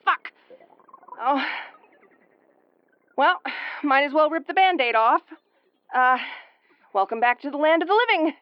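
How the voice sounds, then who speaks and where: A woman's voice: high voice, animated, a little slow, pauses often, no room sound, dull audio. A woman playing a sardonic, sweary tomboyish young starship commander in an audio roleplay, stammering when rattled but secretly tender. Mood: stunned, rueful.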